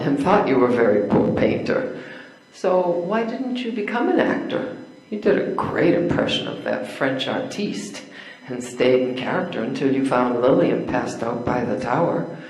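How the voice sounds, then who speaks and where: An older man playing a frank, independent schoolteacher woman in a measured stage play reading. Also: mid-range voice, animated, darkish tone. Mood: candid. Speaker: amateur readers theater performer. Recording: echoey room.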